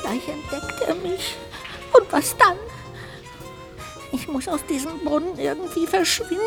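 Little ghost, high creaky voice, anxious, dramatic, childlike, children's radio play. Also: female voice, music in the background, heavily acted. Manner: expressive, exclaiming, drawn-out lamenting delivery.

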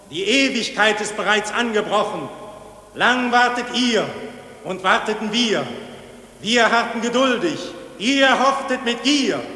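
High, echoing, merciless cosmic judge. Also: male voice, pushed and bright. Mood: menacing, rising. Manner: declamatory, proclaiming in measured rhyme. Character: solemn, merciless cosmic voice passing judgment on mankind. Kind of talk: declaimed rhymed verse, vintage German radio drama. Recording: echoey hall with long reverb.

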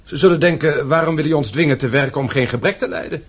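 An actor playing an earnest young gentleman, respectful but dissenting, in a radio play. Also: on the high side, quick pace, slightly rough. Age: young adult.

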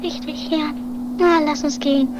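A woman's voice: high-pitched, fairly even intonation, normal speed, muffled recording, lightly acted. A woman in an amateur radio play voicing a distressed, pleading climber, plaintive and repeating phrases. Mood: weary. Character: distressed, pleading climber, emotional and easily exhausted. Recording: homemade radio play under loud music.

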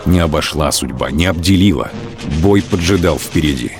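Man, very deep voice, grave measured radio-play narration, faint music behind.

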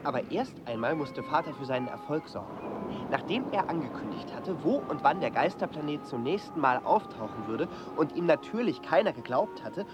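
A son recounting his father's life, with a slightly high, animated voice. He tells it as a radio drama storyteller, with music clearly behind him. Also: moderate pace. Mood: wry, storytelling.

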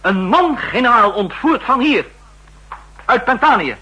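An earnest young assistant. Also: male voice, very high voice, lively intonation, a little slow, pushed and bright, slightly rough, full character voice, low-quality recording. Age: young adult. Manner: earnest, insistent. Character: ambitious, loyal young male assistant. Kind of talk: 1960s radio drama acting.

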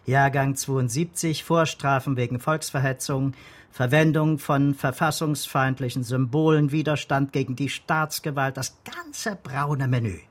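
A man acting a veteran detective, middle-aged, husky mid-range voice, dry wit, radio play. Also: medium pitch, a little slow, lightly acted. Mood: factual, wry. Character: dry, wry veteran male police detective. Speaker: actor in a radio drama. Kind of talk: naturalistic radio play dialogue.

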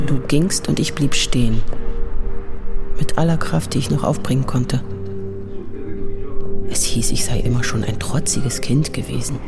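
An actress playing a wry, reflective woman writer around forty in an audio drama. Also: extremely low pitch. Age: middle-aged. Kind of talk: literary first-person narration and acted dialogue in an audio drama. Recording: music behind the voice.

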